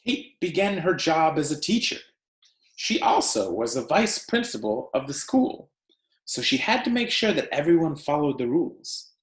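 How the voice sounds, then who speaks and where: A man teacher reading aloud to children, with deliberate storybook phrasing and casual delivery. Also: on the high side, very animated, roomy sound.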